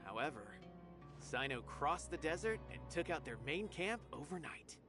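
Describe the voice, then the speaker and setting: A man's voice: fairly high pitch, big pitch swings, moderate pace. A man playing a dutiful, earnest officer in a video game, reporting politely in articulate, orderly speech. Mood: earnest, relieved. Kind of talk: scripted video game acting. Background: music.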